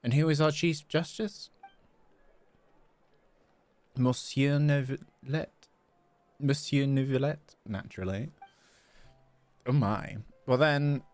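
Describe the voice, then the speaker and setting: A man puts on a grandly courteous game shopkeeper who teases in ornate, theatrical phrases. The pitch swings about and the voice has a gravelly edge, over faint background music. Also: dry recording. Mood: haughty, teasing.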